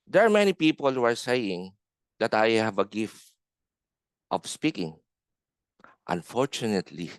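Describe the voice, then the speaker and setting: A man speaks slowly and earnestly over a video call, and his lowish voice rises on the words he stresses. His tone is smooth and clear, and the sound is close and dry with no room echo. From Philippines. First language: Filipino. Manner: earnest, measured, rising in emphasis on key words. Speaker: club member giving an impromptu speech. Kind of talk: impromptu speech on a video call.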